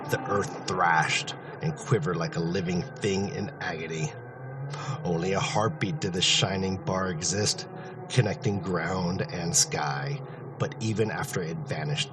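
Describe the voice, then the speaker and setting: A man giving a grave, deliberate, dramatic narration of a fantasy novel. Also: low-pitched, distant mic. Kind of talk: dramatic audiobook-style scripted narration. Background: music.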